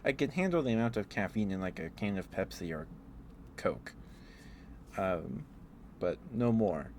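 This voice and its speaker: A man streaming ASMR, chatting in a halting, rambling way through casual personal stories. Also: on the low side, expressive, darkish tone, a little hiss or hum.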